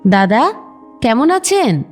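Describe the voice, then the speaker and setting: An actress playing a polite but probing middle-aged neighbour woman in an audio drama, speaking haltingly and hesitantly. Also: on the high side, sing-song, darkish tone, faint music behind. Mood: familiar, polite.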